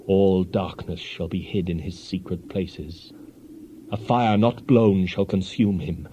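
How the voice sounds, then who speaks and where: Casting: man to play stern ancient accuser for scripture drama; solemn, declamatory, soft-edged dark timbre. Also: mid-range voice, lightly acted. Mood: ominous, grave. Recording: dull lo-fi audio with faint noise.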